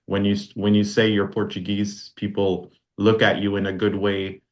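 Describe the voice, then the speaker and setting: A man's voice: lowish voice, completely flat delivery, normal speed. A man in his forties, born in Canada, chatting casually as a podcast guest, rambling with fillers and restarts. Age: 40s. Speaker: podcast guest, brand founder. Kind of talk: casual interview chat.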